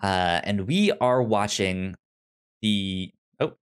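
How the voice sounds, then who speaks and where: A man co-hosting a podcast, chatting casually about films in a halting way, often repeating words and restarting. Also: fairly low pitch, expressive, smooth. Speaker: podcast co-host. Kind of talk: casual film review chat.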